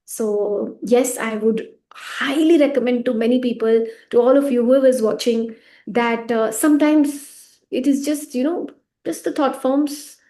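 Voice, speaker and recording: A woman who teaches pranic healing, answering interview questions in a casual, lively way, laughing often and letting her phrases fade softly at the end. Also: on the high side, a little slow.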